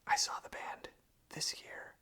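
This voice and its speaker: An ASMR host speaking in a breathy, unvoiced whisper, gentle and enthusiastic in manner. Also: measured pace. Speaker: ASMR host chatting about and reading song lyrics.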